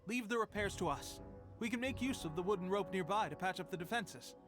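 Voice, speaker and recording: An actor voicing an eager, proud young soldier in a video game, speaking in an earnest, declamatory way. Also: male voice, high-pitched, expressive, a little fast, music in the background. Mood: confident, challenging.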